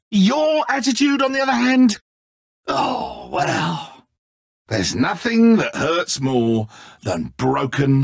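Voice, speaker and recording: An actor playing a petty, egotistical, godlike villain in a video game, smooth and theatrical, with condescending mock friendliness. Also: male voice, sits high, a bit bright, no echo, studio quality, exaggerated voice. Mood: wounded, menacing.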